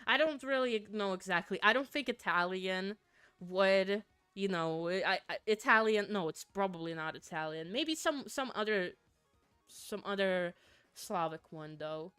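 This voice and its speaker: Smooth-voiced giggly Finnish woman streamer. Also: medium pitch, lively intonation, measured pace, a bit bright, no echo, soft background music. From Finland. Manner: giggly, stammers and repeats words. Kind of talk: casual livestream chat with viewers.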